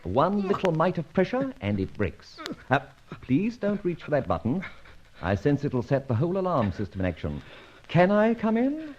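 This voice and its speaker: An actor playing a young British masked thief in an old-time radio detective drama, mock-polite, wry and commanding. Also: male voice, fairly high pitch, wildly swooping pitch, normal speed, darkish tone, slight background noise. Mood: mock-polite menace. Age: young adult. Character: suave masked young vigilante thief, bold, mocking, menacing. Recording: dull vintage radio recording.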